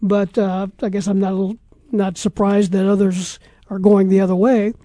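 Sports radio host, American man, relaxed dark tone, dry close-mic studio sound, casual talk. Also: sits high, normal speed, no room sound.

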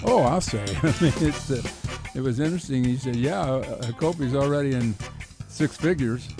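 Male co-host, casual sports radio chat, middle-pitched voice, music playing clearly behind. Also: normal speed.

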